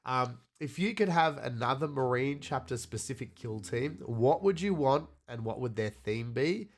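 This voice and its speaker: A man's voice: medium pitch, animated, moderate pace. A man with a blocked nose co-hosting a podcast, chatting casually, laughing easily and reading out questions from the chat. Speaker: podcast co-host.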